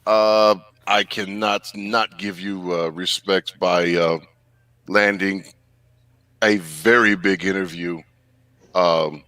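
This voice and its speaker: A man's voice: deep voice, steady tone, slow pace, pushed and bright, no echo. A man speaking bluntly in casual online chat, stumbling over repeated words.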